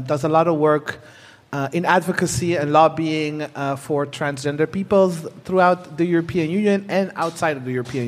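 A man from Burundi, a panel guest, talking cheerfully and laughing often. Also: fairly high pitch. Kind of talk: panel discussion. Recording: reverberant hall.

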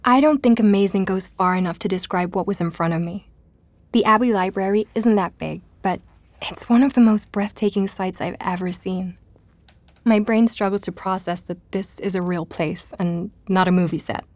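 An actress plays an inquisitive young woman researcher in an audio drama. Her voice sits at a medium pitch, earnest and full of wonder, with a natural delivery. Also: moderate pace, dry recording, close to an everyday voice. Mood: awestruck.

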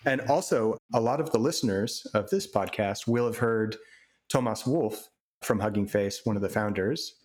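A man's voice: lowish voice, normal speed, darkish tone. A man hosting a podcast interview who asks his questions in an eager, friendly way. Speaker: podcast host. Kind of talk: conversational interview.

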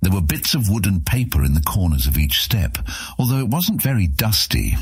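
A man reads scripted fantasy fiction as an audiobook narrator. His voice is deep and husky. The sound is very dry, with no room to it. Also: very animated, moderate pace, dry recording.

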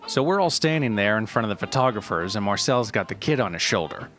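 A man's voice: on the low side, animated, fast talker, fairly bright tone, soft background music. A man playing an exasperated elderly race director, rambling and grumbling conversationally through a reminiscence in an acted interview. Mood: exasperated reminiscing.

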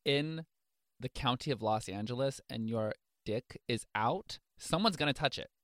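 A man's voice: medium pitch, normal speed, fairly bright tone, dry recording, clean studio sound. A man on a casual talk show panel, tossing in blunt, teasing quips. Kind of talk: casual banter. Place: radio studio.

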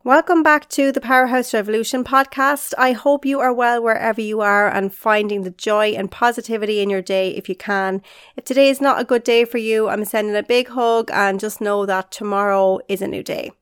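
A woman's voice: on the high side, fairly even intonation, fairly quick, clean tone, dry recording, studio quality. A woman, hosting a solo podcast in an upbeat, encouraging way, slightly congested and nasal from being unwell. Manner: upbeat, encouraging, direct to listener. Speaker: podcast host and leadership coach.

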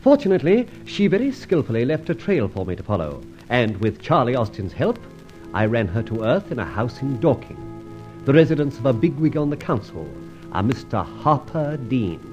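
A man acting a dashing, wry gentleman adventurer in an old radio drama, brisk and commanding. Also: medium pitch, big pitch swings, natural voice. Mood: wry storytelling. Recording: dull vintage radio recording, faint music.

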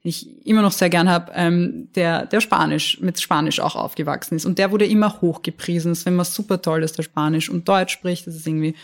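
A woman's voice: on the low side, steady tone, fairly quick, hardly any pauses. A young woman from Austria, a lawyer talking candidly as an interview guest, often restarting and repeating words. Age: young adult. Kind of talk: casual interview conversation.

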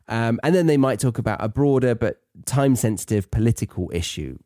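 British male podcast teacher, soft dark tone, dry sound, conversational and thoughtful delivery. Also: medium pitch, animated, fairly quick, no room sound. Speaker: podcast host and English teacher. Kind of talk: conversational educational podcast talk.

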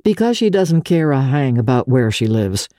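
Lady narrator doing a posh society gentleman in an old novel, condescending, mellow, lively pitch. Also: normal speed, no echo, studio quality. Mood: secretly proud. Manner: evasive, lofty. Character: well-off, fashionable gentleman, proud and condescending.

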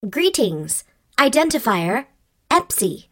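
Literal computer voice, clipped n formal, highish, bouncy pitch, audio drama. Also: female voice, very animated, slow, a bit bright, heavily acted. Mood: formal, demanding. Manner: clipped, formal, robotic phrasing. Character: rigid, literal-minded computer program demanding definitions. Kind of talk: scripted audio drama acting.

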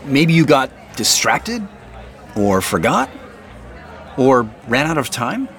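A man's voice: medium pitch, sing-song, takes it slowly, a bit bright, a faint crowd, exaggerated voice. An actor playing an earnest, probing male boss in naturalistic audio drama, speaking in an insistent, questioning, conversational way. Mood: accusing, probing.

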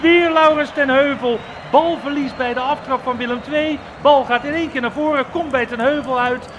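High-pitched man reporting live football. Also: steady tone, normal speed, lo-fi sound, noisy background. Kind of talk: live radio match commentary.

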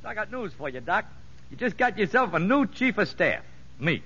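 An actor playing a wily, wisecracking safecracker convict in a 1950s radio drama, talking streetwise slang with mock-earnest innocence. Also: male voice, sits high, sing-song, normal speed, music in the background. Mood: sly, cocky. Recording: muffled vintage radio broadcast.

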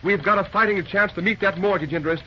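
A man's voice: high voice, fast, close to an everyday voice. An actor playing an eager, enthusiastic young railroad man in an old-time radio drama. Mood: excited, optimistic. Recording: dull old radio recording, faint noise.

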